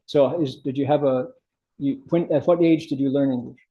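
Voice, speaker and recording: A man's voice: mid-range voice, fairly even intonation, moderate pace, mellow. An older man leading a meditation class in casual, chatty discussion, often restarting his sentences and affirming students.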